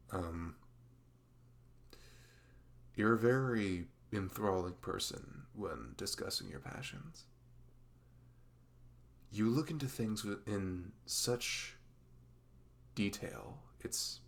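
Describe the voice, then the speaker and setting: A man's voice: fairly low pitch, creaky, full character voice. A man playing a dorky, nervous young best friend in a conversational ASMR roleplay, rambling and stammering with self-deprecating humor. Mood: tender, admiring.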